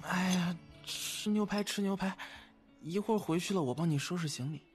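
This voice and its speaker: A gentle young man in love, acted by a man for an audio drama. His voice sits high and soft, and he is hesitant and easily flustered. Music plays behind him. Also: moderate pace, natural voice. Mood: bashful.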